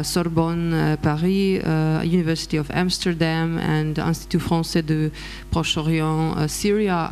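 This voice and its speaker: A conference moderator introducing a panelist formally, reading a list of posts with brief pauses. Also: mid-range voice, a little mellow, slight background noise. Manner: reads credentials with pauses.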